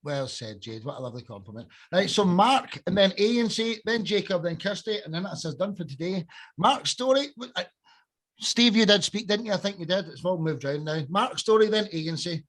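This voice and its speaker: Upbeat high-voiced male podcast host. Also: expressive, fairly bright tone. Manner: brisk, upbeat, keeps the order of speakers. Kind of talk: casual video call chat.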